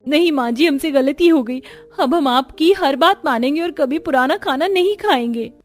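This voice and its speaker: A woman's voice: high voice, normal speed, voice pushed up, close to an everyday voice. A woman voicing a breezy, argumentative young daughter-in-law in a cartoon story. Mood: apologetic.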